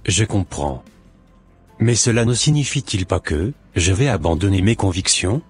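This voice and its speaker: Remorseful husband for a cartoon drama: male actor, very low husky voice, earnest, music underneath. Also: animated, moderate pace, heavily acted. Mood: earnest, respectful. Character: remorseful, lonely husband swallowing his pride. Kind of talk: scripted animated drama monologue and dialogue.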